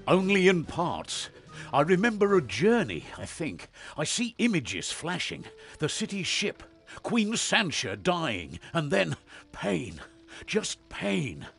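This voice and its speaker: Gruff, gravelly veteran warrior. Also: male voice, fairly high pitch, wildly swooping pitch, slow, a bit bright, faint music behind, heavily acted. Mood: wary, troubled. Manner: gruff, wary, intense. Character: weary veteran demon hunter, gruff amnesiac male warrior. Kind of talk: fantasy video game voice acting.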